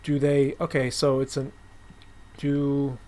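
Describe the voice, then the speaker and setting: A man hosting a casual interview, agreeable and quick to interject, with halting questions. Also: mid-range voice, monotone, mellow. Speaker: podcast host.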